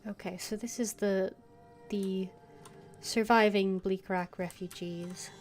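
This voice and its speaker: A woman giving casual gameplay commentary, halting as she thinks aloud. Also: mid-range voice, fairly even intonation, faint music behind. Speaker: game streamer.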